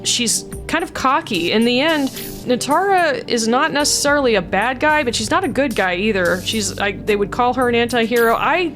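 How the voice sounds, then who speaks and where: A woman's voice: fairly high pitch, expressive, normal speed, music in the background. A woman casually talking through her voice mod work, chatty and blunt, laughing often. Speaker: voice mod creator. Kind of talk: casual commentary.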